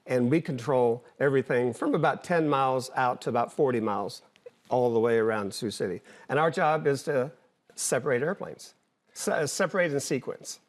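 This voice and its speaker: An older man, an interview guest, explaining things conversationally in a plain, halting way with repeated words. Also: medium pitch. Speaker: interview guest, former air traffic controller and pilot.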